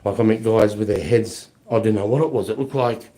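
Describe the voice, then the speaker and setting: A man's voice: fairly low pitch, fairly quick, dark tone. A man, rambling bluntly and swearing as he answers viewer questions on a live stream, his voice a little off from a flu. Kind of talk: casual live Q&A chat.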